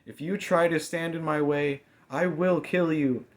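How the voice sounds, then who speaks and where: A man voicing a furious, menacing young female thief in an audiobook, snapping out forceful threats. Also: a little high, fairly even intonation, measured pace, voice pushed up, lightly acted. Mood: venomous anger. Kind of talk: audiobook character dialogue.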